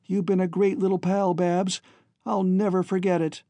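A man's voice: sits high, level tone, normal speed, warm tone, dry recording, voice pushed up. A man voicing an earnest, devoted young man in an emotional, pleading audiobook dialogue. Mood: tender, reassuring. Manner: choked, emotional, pleading delivery. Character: earnest, devoted young man bidding his family farewell.

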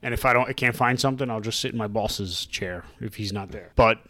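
A man co-hosting a podcast in casual chat, with a loud voice and a jokey, chatty way of talking. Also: on the low side, a little fast, no echo. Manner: jokey and chatty, laughs often. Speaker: podcast co-host.

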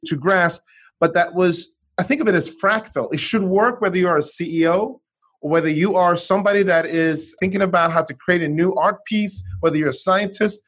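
A man's voice: sits high, a little fast. A middle-aged man from Sweden, an author and entrepreneur, telling his story as a guest in a conversational interview, restarting sentences as he goes. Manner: animated storytelling, frequent restarts.

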